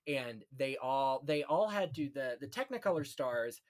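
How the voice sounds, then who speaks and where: A man's voice: medium pitch, animated, a little slow. A man hosting a casual film history chat, telling anecdotes in a gossipy, conversational way. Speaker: podcast host.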